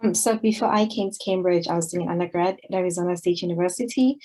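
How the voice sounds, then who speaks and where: A young woman from Zimbabwe, a doctoral student, talking briskly and in a friendly way on a panel over a video call. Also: fairly low pitch, a little mellow, poor audio. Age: young adult. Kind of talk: panel introduction and answers.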